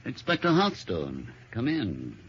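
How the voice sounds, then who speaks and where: An actor playing a suave, guarded gentleman suspect in a radio mystery, polished and courteous but evasive. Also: lowish voice, huge pitch swings, slow, noisy background, heavily acted. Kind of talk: old-time radio drama acting. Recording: muffled old radio recording.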